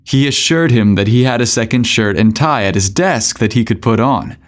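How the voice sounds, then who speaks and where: A man's voice: medium pitch, lively intonation, normal speed. A man hosting a podcast and narrating a scripted story in a theatrical, suggestive way.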